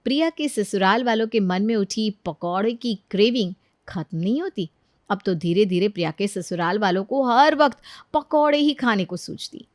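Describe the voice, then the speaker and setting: A woman's voice: mid-range voice, lively intonation, moderate pace, no room sound, studio quality. A woman narrating a scripted story expressively as a storyteller.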